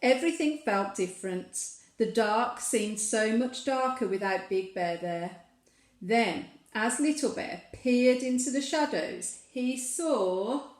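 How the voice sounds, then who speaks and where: A woman reading a storybook expressively to young children, pausing to put gentle questions to the listeners. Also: mid-range voice, reverberant.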